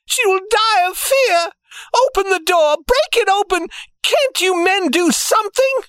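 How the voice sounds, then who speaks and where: A man's voice: extremely high pitch, animated, a little slow, fairly bright tone, no echo, voice pushed up, full character voice. A man voicing a frantic, frightened mother in an audiobook story. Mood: panicked. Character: frantic young mother, wild with fear. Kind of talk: audiobook character voice.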